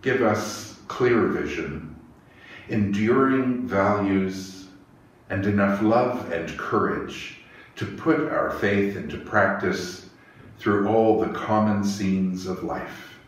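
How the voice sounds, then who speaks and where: In a very echoey room, a little far from the mic, a middle-aged man leads worship. He reads in a reverent, measured way, like a minister at prayer. His voice is low. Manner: slow, measured, reverent delivery. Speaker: church minister leading worship. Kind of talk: reading poetry and prayer in worship. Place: small church chapel, indoors. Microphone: lapel microphone. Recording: echoey room, distant, faint hiss.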